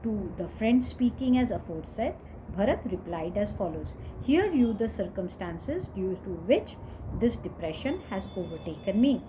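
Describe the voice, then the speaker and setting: A woman's voice: medium pitch, expressive, normal speed. Woman reading scripture over noticeable background noise. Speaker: reader of scripture.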